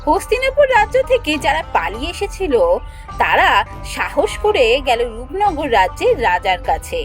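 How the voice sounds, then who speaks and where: Female cartoon storyteller, high voice with animated swings, reading scripted fairy-tale narration over music. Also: normal speed. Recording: music under the voice.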